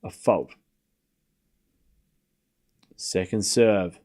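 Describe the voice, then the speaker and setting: A man's voice: deep voice, very slow, a little mellow. A man with an Australian accent, giving casual live tennis commentary and teasing his chat. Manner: teasing, boastful, talks straight to chat.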